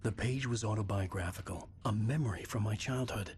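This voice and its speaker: An actor playing a troubled, determined thriller writer, narrating in a terse, somber first-person noir style for a video game. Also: male voice, fairly low pitch, a little fast, a little hiss or hum, close to an everyday voice. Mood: brooding, resolute. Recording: loud music under the voice.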